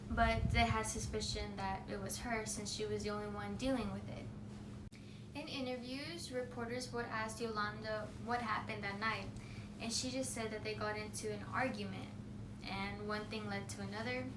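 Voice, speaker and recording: A woman's voice: on the high side, fairly even intonation, normal speed, recorded from a distance. A young woman giving a presentation speech, earnest and reading from notes with small slips. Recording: echoey room, voice from off the mic, noisy.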